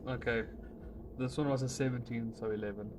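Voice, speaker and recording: A man's voice: medium pitch, fairly even intonation, a little fast. A man talking casually as a player in a tabletop game. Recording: clearly noisy room recording.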